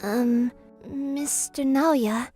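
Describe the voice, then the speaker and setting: Woman acting shy, high-voiced awkward fiancée. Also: very slow, mellow, faint music behind. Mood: reserved. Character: reserved, awkward young fiancée, quietly sincere. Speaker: voice actress. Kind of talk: dramatized manga dub.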